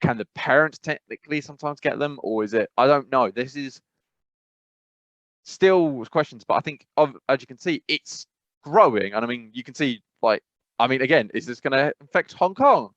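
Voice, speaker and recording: A man's voice: mid-range voice, expressive, normal speed, fairly bright tone, no echo. A man co-hosting a casual gaming podcast, rambling and opinionated, with lots of restarts. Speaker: podcast co-host. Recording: dull, dry sound.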